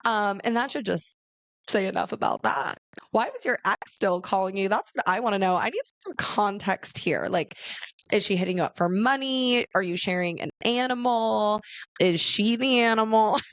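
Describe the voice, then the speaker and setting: A raspy young woman from Arizona hosting a casual solo podcast, chatty and laughing often as she talks to her listeners. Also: mid-range voice, normal speed, dry recording. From Arizona, USA. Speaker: podcast host.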